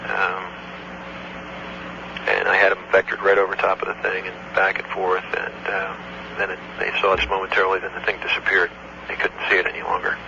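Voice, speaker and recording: A man, an air traffic control supervisor, recounting an incident in a matter-of-fact, halting way on an old taped phone call. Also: sits low, monotone, gravelly. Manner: matter-of-fact, halting recall with technical detail. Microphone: telephone.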